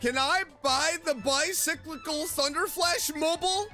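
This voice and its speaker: A woman's voice: sits high, unhurried, pushed and bright, faint music behind. A woman streamer giving casual reaction commentary while playing a game.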